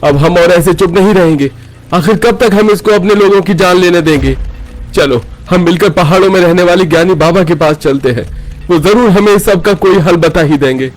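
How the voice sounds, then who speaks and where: A man's voice: high-pitched, normal speed, natural voice. A man voicing a stern village figure, declaiming and questioning in a cartoon horror story. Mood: indignant. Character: stern, exasperated village man addressing a crowd.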